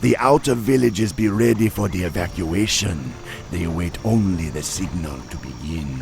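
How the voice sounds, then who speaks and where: A man's voice: low voice, heavily acted. A man voicing a proud male troll chieftain who speaks in an island patois, in a video game cutscene. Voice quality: gravelly.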